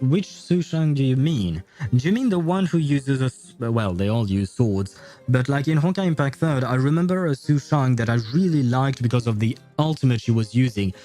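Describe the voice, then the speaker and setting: A man's voice: medium pitch, warm tone. A man hosting a gaming livestream, talking casually and thinking aloud in a rambling way. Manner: rambling, thinking aloud, chuckles at own jokes. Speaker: livestream host.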